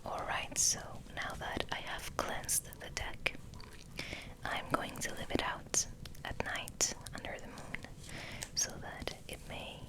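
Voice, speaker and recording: A woman host giving a gentle, soothing ASMR ramble in a breathy whisper, softly repeating words.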